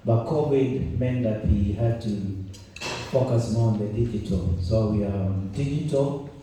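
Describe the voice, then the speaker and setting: A man's voice: on the low side, a little slow, warm tone, distant mic, a little hiss or hum. A Kenyan man hosting a conference, talking in a chatty, jokey way with many restarts. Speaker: event host and journalist. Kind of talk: conference opening speech. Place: conference room. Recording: roomy hall, mic set back.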